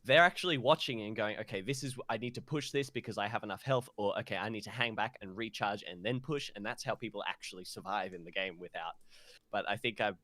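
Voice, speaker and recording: A man's voice: on the low side, fast, bright, forceful tone, dry recording. A man co-hosting a podcast, chatting casually about games with a friend. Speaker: podcast co-host.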